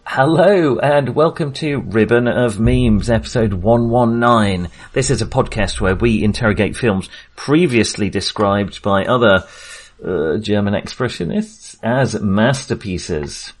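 A man with a fairly low voice hosts a casual film discussion. He speaks haltingly, pausing to search for words as he goes, in a conversational podcast style. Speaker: podcast host.

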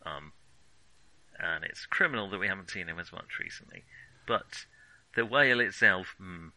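A man's voice: deep voice, expressive, moderate pace, bright, forceful tone, no room sound, poor audio. A man co-hosting a film podcast in a chatty, wry, conversational way. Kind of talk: casual chat.

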